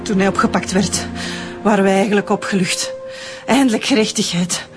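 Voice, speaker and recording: A woman's voice: mid-range voice, steady tone, normal speed, music in the background, lightly acted. An actress with a Flemish accent playing a young woman who killed to avenge her murdered father, speaking bitterly and defiantly in a radio drama. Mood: bitter, grieving.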